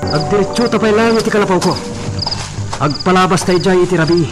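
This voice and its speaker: A man's voice: high voice, fast talker, far from the mic, lightly acted. A man with an Ilocano accent playing an older-brother figure in an audio drama. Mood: companionable. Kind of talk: Ilocano radio-style love drama acting. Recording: music under the voice.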